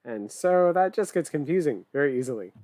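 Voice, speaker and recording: A man in his thirties chatting at home while playing an online board game, wordy and quick with dry, teasing jokes. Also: on the high side, animated, dark tone, no room sound. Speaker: streamer co-host. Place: home room, indoors.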